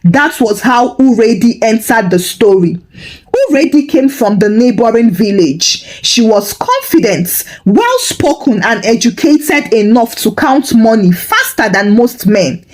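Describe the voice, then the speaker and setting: A woman's voice: on the high side, big pitch swings, a little slow, poor audio. A woman storyteller giving a scripted, dramatic narration of a folktale.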